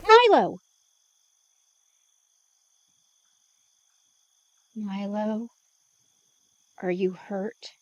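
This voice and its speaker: A woman's voice: mid-range voice, very animated, drawn-out words, no room sound. An actress playing a jittery young woman vampire, anxious and exclamatory in an audio drama. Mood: panicked.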